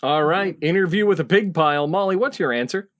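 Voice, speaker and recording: A man's voice: on the high side, fairly quick, a bit bright. A middle-aged man hosting a comedy panel game in an announcer-like way, on a video call. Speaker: game show host.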